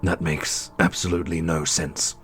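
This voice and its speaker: A man's voice: low voice, level tone, moderate pace, a bit bright, voice pushed down. A man playing a blunt, skeptical, plain-spoken adventurer in a fantasy audio roleplay, with an incredulous, demanding manner. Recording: music under the voice.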